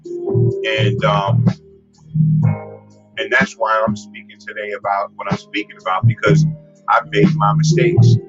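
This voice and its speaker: A man's voice: lowish voice, little pitch movement, measured pace, long pauses. Host, male, strong voice, rambling advice talk over guitar music, lo-fi sound. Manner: rambling, many fillers and restarts. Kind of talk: casual advice livestream. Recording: lo-fi with music behind.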